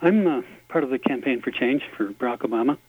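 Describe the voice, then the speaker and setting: A man calling a radio show as a campaign volunteer, earnest and halting with frequent fillers. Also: mid-range voice, lively intonation, husky. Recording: tinny phone line.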